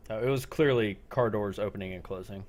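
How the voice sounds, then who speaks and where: Low-pitched man running a role-playing game. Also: darkish tone. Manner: halting descriptive narration with questions to players. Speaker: game master. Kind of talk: improvised narration of a tabletop-style zombie role-play.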